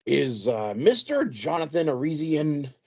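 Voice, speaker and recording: A man playing a slick, grandiose wrestling promoter with a husky voice in a comedic audio play. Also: fairly high pitch, big pitch swings, a little slow, a little mellow, lo-fi sound, full character voice.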